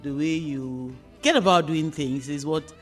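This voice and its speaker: A woman with a Nigerian accent hosting a radio discussion programme, phrasing her questions and points deliberately and emphatically. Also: low-pitched, faint music behind.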